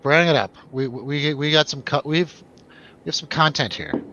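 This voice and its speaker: A man's voice: on the high side, normal speed, pushed and bright. A man hosting a casual film review show in a jokey, irreverent way. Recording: dull lo-fi, faint background noise.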